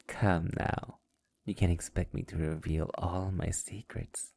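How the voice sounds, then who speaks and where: A man playing a sadistic, possessive immortal vampire master in an intimate ASMR roleplay, taunting theatrically in a breathy, gravelly voice that often nears a whisper. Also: low-pitched, fairly even intonation, slow, darkish tone, dry recording, full character voice. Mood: smug.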